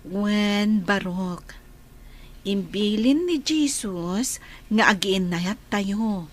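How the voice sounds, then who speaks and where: A woman's voice: mid-range voice, expressive, drawn-out words. An actress with an Ilocano accent playing a caring, devout woman in a radio drama. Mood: gently admonishing. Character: caring, devout woman who gently admonishes a boy.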